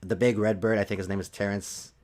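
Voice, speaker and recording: Man, lowish voice, casual podcast chat, halting delivery, frequent rephrasing. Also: little pitch movement, very noisy.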